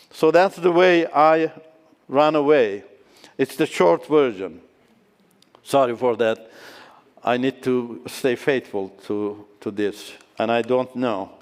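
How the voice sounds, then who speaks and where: A middle-aged man tells his testimony slowly and earnestly to a conference crowd. His voice is smooth and resonant. It rings out through a large hall with long reverb. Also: a little high. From Iran. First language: Turkish. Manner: earnest storytelling with restarts, excited in tense moments. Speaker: conference speaker. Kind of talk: personal testimony talk.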